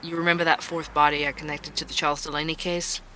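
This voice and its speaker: A man playing an earnest, dogged investigative podcast host in an audio drama, with a crisp, measured delivery. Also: a little high, little pitch movement, pushed and bright, a little hiss or hum. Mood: frustrated. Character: male investigative podcast host, dogged, weary young employee. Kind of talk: scripted podcast narration and audio drama dialogue.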